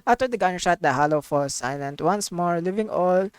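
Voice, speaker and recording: A woman's voice: fairly low pitch, normal speed, smooth, dry recording. A woman doing casual, slangy commentary as she plays a game. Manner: excitable, swearing, slangy. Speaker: streamer reacting to a game.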